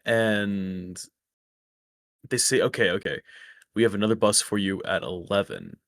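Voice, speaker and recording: Low, dry-voiced man telling a story. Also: animated, unhurried, vocal fry, fairly bright tone, no echo. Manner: rambling, self-correcting storyteller. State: slightly raspy voice. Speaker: stream guest. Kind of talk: casual storytelling chat. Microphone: close microphone.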